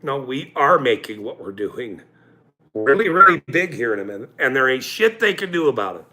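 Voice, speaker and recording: A man in his forties hosting a livestream, ranting angrily with profanity and loud outbursts, then joking about himself. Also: mid-range voice, very animated, moderate pace, heavy background noise. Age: 40s. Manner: angry, profane, with loud outbursts and self-mocking laughs. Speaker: channel host. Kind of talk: livestream rant.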